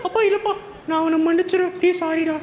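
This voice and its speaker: A woman's voice: very high voice, steady tone, fast talker, a crowd in the background. A woman voicing a cheeky, whining child puppet who teases and squabbles in a children's puppet show. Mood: sheepish pleading. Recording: echoey hall with faint noise.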